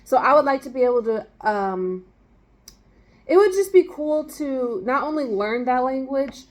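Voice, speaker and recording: A woman chats casually as a co-host, thinking her answers through out loud with fillers. Her voice sits a bit higher than most women's. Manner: thoughtful, laughs easily, fillers. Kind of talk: casual chat.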